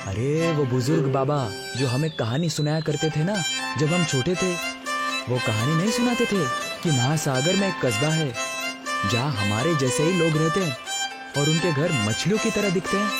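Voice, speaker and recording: Casting: male actor as reckless young dreamer, insistent and dramatic, animated-story dialogue with music behind. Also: fairly high pitch, normal speed, lightly acted. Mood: eager. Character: bold, reckless young male adventurer dreaming of a sea village. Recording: voice over background music.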